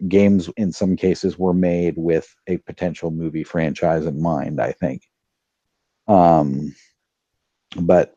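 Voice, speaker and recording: A man's voice: deep voice, mellow, no room sound, lo-fi sound. A man talking casually as a podcast panelist, giving opinions in an emphatic way.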